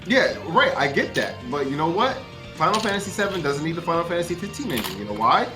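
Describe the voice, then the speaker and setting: Blunt, opinionated man, slightly high voice. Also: big pitch swings, fast talker, bright, forceful tone, soft background music. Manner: opinionated, blunt, repetitive, swearing. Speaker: podcast panelist. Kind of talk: casual gaming debate.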